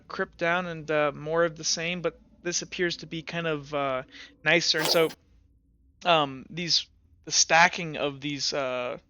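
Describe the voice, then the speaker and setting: Hesitant game master, smooth voice, dull dry sound. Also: male voice, fairly high pitch, sharp tone. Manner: hesitant, full of ums and restarts. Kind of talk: narrating a tabletop game.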